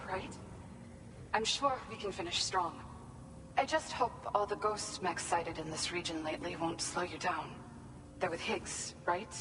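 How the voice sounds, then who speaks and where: A woman's voice: on the low side, natural voice. A woman playing an earnest, grateful researcher in a game cutscene, talking in a heartfelt, chatty way. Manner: earnest, warm-hearted, talkative. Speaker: researcher at a facility. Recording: noisy.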